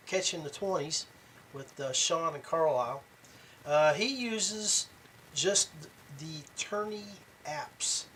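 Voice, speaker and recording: A man hosting a podcast in a casual monologue, talking haltingly with frequent restarts. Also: a little high, a bit bright. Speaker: podcast host. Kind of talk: casual talk show monologue. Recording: distant mic with background noise.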